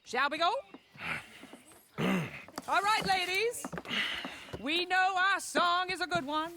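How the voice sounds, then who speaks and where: An actress playing a proud suffragette leader, speaking in confident, rousing oratory, as in a game cutscene. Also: female voice, very high voice, takes it slowly, background noise. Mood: assured, wry. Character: proud, rousing suffragette woman leading a march. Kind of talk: video game cutscene acting.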